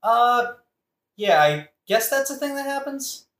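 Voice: medium pitch, lively intonation, a little slow, fairly bright tone, roomy sound. A performer playing a laid-back, doubtful buddy in a modern comic audioplay, talking casually and skeptically.

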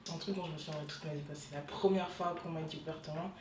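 Young woman in her 20s, talks fast, lowish voice, sounds far off in a roomy space with noise. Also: fairly even intonation. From Benin and Togo. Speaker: design student giving testimony. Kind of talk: casual conversational testimony. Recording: distant mic, echoey room, audible noise.

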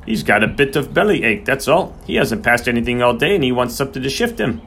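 A man's voice: fairly low pitch, expressive, a little fast, a bit bright, a little hiss or hum. A man voicing a sneering, bad-tempered horse-keeper in an audiobook, snarling and sarcastic. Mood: scornful, impatient.

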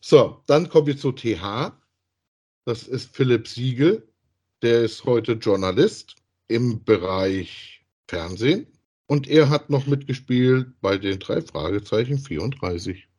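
A man's voice: mid-range voice, expressive, unhurried, a little mellow, breathy edge. A man co-hosting a podcast, speaking in a casual, conversational style. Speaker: podcast co-host. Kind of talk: casual chat about audio drama voice actors.

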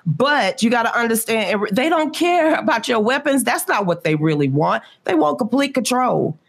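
A woman's voice: a little high, very animated, normal speed, vocal fry. A woman, a podcast guest talking politics in a blunt, emphatic, rhetorical way. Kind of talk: heated political talk.

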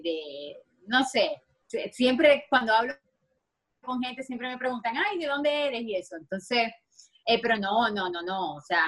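A woman's voice: fairly high pitch, a bit bright. A middle-aged woman from Maracaibo, Venezuela, with a marked Maracaibo accent, chatting as a guest in an interview with many fillers and restarts. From Venezuela (Maracaibo, Zulia). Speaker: actress guest. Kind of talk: interview conversation.

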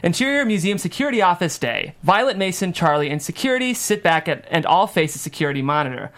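Narrator: woman, rapid pace, scripted screenplay directions. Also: fairly low pitch, big pitch swings.

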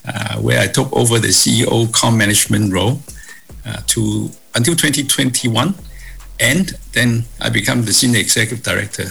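An older man from Hong Kong with a bright but husky, gravelly voice. He talks in a measured, halting way, with fillers and restarts. Faint music plays softly behind him. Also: medium pitch, rough recording. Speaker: guest, property executive. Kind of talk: conversational interview answers.

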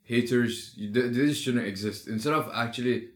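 A man in a casual group discussion, arguing his points emphatically and often jumping in to agree with the others. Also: mid-range voice. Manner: emphatic, quick agreeing interjections. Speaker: discussion participant.

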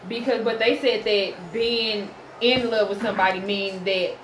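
A woman's voice: mid-range voice, steady tone, unhurried, fairly bright tone, echoey room, a little hiss or hum, low-quality recording. A woman in her late twenties, talking hesitantly and thoughtfully in a casual chat about love. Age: late 20s. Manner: hesitant, thoughtful, filler-heavy.

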